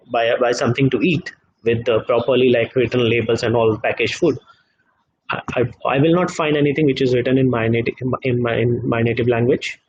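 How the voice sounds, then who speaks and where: A man speaking as a guest in a live online discussion, halting often with fillers and repeated words. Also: medium pitch, a little hiss or hum, poor audio. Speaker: guest economist.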